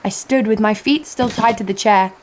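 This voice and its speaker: A brash female adventurer played by an actress tells her exploits in an audio drama. She is sardonic and cocky, at a middling pitch, with faint ambience behind her. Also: moderate pace, slight background noise, natural voice, poor audio. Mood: wry narration. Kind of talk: first-person audio drama narration and dialogue.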